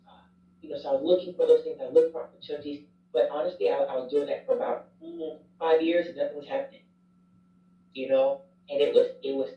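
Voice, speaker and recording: A man, a chaplain and former youth pastor, candidly and reflectively telling his story in a casual interview. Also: medium pitch. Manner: candid, reflective, self-deprecating. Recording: roomy, distant, dull sound.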